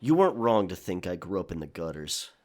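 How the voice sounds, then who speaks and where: A brooding pirate played by a man with a low voice. He is reserved and halting, guarding a hidden past, in the manner of an intimate audio drama. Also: big pitch swings, a little mellow. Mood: guarded.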